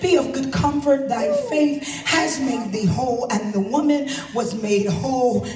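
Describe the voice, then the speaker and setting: A woman's voice: sits high, a little slow, soft background music. Woman preaching in an echoey sanctuary. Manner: builds into fervent, shouted preaching with rhythmic repetition. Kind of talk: sermon. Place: large church sanctuary. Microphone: handheld mic at pulpit, filmed from the pews. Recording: echoey sanctuary recorded from far back.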